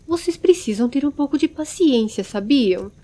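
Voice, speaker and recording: A woman's voice: sits high, animated, moderate pace, mellow. A Brazilian woman voicing a small, earnest, easily startled young male servant in a dramatized fantasy audiobook. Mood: gently scolding.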